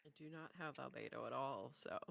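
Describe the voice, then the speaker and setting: A woman co-hosting casual reactions to game trailers, speaking in a gushing, expressive way. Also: extremely low pitch, fairly quick, gravelly, dry recording.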